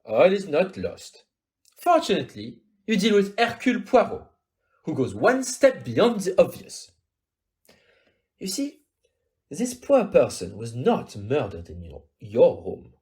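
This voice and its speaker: A courteous, self-assured detective played by an amateur actor. The delivery is theatrical and precise, with a very animated melody that rises and dips, at a middling pitch. It belongs to an audio mystery play. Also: measured pace, slightly rough, full character voice. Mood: soothing, assured. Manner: theatrical, courteous, sprinkles in French words.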